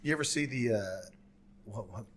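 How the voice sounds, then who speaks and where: A man's voice: mid-range voice, animated, moderate pace, a little hiss or hum. Casual man chatting, easy colloquial banter. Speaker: podcast co-host.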